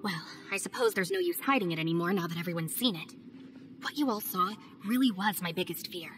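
A young ninja confides in a wry, candid way, her voice fairly low and even, as a woman acts her out. Game music plays clearly beneath her. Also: a little fast, close to an everyday voice. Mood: confiding. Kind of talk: game cutscene voice acting.

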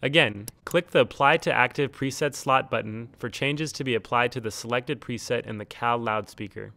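Dry, measured male tutorial narrator. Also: medium pitch, gravelly, pushed and bright, dry recording. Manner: measured, neutral step-by-step explaining. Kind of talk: scripted instructional narration. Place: close, dry studio-style voice-over recording.

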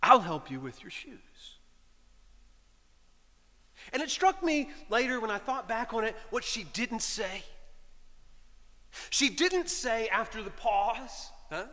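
A middle-aged man, a priest, telling a story in a sermon from the pulpit, animated and shifting between loud lines and hushed whispers. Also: very high-pitched, slow pace, pauses often. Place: large stone church, speaking from a pulpit. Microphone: pulpit microphone. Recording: long church reverb.

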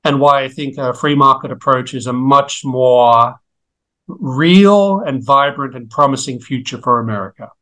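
A middle-aged man with an Australian accent, a guest economist speaking in a measured, articulate way on a video call from a home study. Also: medium pitch, pushed and bright, poor audio. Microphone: video call webcam.

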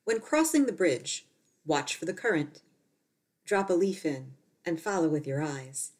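A woman poet reading her poetry aloud in short, deliberate phrases with pauses. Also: low-pitched, expressive, darkish tone.

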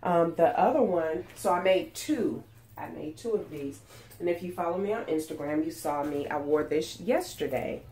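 A woman's voice: low voice, moderate pace, warm tone, slight background noise. A woman in her forties, congested and nasal from a cold, chatting casually about her sewing projects with lots of ums and restarts, recorded on a webcam at home. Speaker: sewing vlogger. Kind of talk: casual chat. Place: small room at home, indoors. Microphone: webcam at desk distance.

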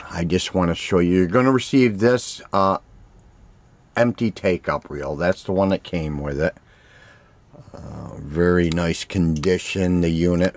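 A man selling gear gives a casual, unscripted demonstration, speaking plainly and haltingly in short phrases, recorded on a handheld camera indoors. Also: sits low, a little mellow, slight background noise. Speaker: seller demonstrating vintage audio gear for an online listing. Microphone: handheld camera, speaker behind it.

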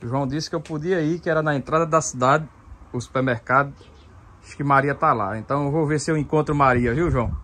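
A man's voice: fairly high pitch, fast talker, fairly bright tone. Chatty vlogger man. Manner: chatty, laughs often. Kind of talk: casual vlog narration. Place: outdoors, rural sertão and car.

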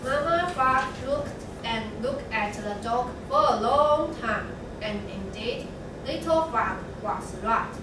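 A young woman narrating a children's story in a slow, measured readers' theatre reading. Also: fairly high pitch, animated, noisy background. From Taiwan. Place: large classroom. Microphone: far camera. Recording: very echoey classroom from far off.

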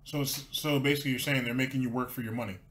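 Male host, mid-pitched, dry and sarcastic, mocking what he just played. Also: steady tone, very fast, a bit bright. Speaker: commentary host. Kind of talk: sarcastic commentary.

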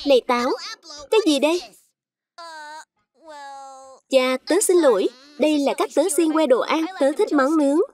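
A woman voicing excitable, childlike little fruit schoolchildren in a cartoon dub. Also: high-pitched, moderate pace, long pauses, close to an everyday voice. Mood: chatty.

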